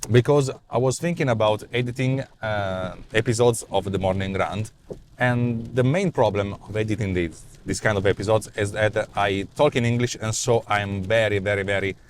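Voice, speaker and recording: Italian guy in his 40s vlogging from his car, lowish voice, lots of uhs and repeats, faint background hum. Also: moderate pace. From Italy. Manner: animated, gestures a lot, many repeats and fillers. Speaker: vlog host and app developer. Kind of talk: casual spoken rant. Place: inside a parked car, daytime. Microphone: clip-on lapel mic.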